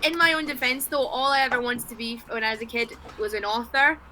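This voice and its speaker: A woman guest with a fairly high and sharply bright voice chats casually over a video call. She is candid and self-deprecating, and swears freely as she talks. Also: fairly even intonation, quick pace, a little hiss or hum, poor audio. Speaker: guest, poet. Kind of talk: casual livestream conversation.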